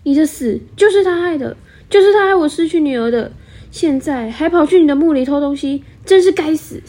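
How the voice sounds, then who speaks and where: A woman's voice: sits high, a little fast, warm tone, lightly acted. A young woman playing a stern, grieving old king and father in an amateur radio drama. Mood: angry. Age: young adult. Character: angry grieving older king, a suspicious father. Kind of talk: student radio drama acting.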